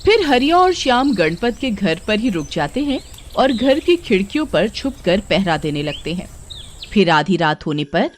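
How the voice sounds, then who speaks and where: A woman's voice: mid-range voice, very animated, normal speed, a little hiss or hum. A woman giving a scripted, dramatic narration of a story.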